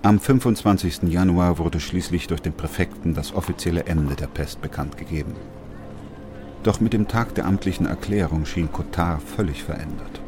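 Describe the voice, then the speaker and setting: Actor as low-voiced, composed doctor and narrator. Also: male voice, background noise, close to an everyday voice. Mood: sober narration. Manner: calm, measured, sober. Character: composed, caring doctor who narrates the plague chronicle. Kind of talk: radio drama acting and sober narration.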